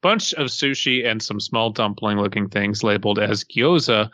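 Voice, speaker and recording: A man's voice: on the low side, normal speed, bright, forceful tone, no room sound, highs cut off. A man podcast co-host chatting casually and reading a story aloud, jokey and profane, often breaking off for asides.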